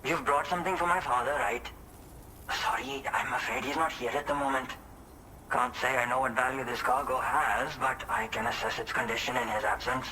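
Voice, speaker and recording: Actor as polite, earnest son, bright voice. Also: male voice, medium pitch, fairly even intonation, normal speed, slight background noise, close to an everyday voice, poor audio. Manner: polite, apologetic. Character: polite, earnest son minding his father's shelter. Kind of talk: scripted game dialogue.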